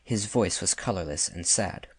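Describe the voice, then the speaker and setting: A man's voice: low-pitched, normal speed, husky, no echo. A man narrating classic fiction from a script as an audiobook narrator.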